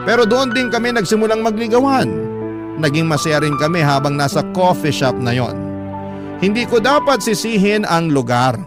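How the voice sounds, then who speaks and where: A male narrator voices a heartbroken woman's confession in a radio drama. His fairly high voice is sorrowful and emotive, telling the story in the first person. Loud music plays behind the words. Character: heartbroken woman letter writer confiding her lost love.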